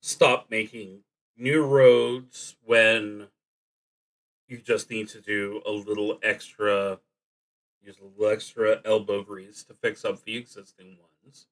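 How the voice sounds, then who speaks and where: A man streaming a solo roleplaying game, thinking aloud and reading prompts in a halting, deliberative manner, sometimes murmuring to himself. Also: fairly low pitch, flat delivery, a bit bright, poor audio.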